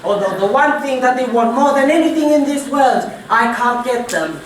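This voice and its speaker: A woman's voice: high voice, lively intonation, moderate pace. A woman playing a cheerful young male royal servant in a pantomime, projecting to the audience in a broad stage style. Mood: wistful storytelling. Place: theatre stage. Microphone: far from the performer. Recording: echoey theatre hall, distant mic, audience noise. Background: audience and room noise.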